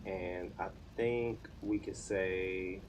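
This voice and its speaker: A man's voice: deep voice, steady tone, drawn-out words, noisy background. An American man in his thirties chatting casually on a live stream, informally thinking aloud as he works. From United States. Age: 30s. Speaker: live streamer learning web development.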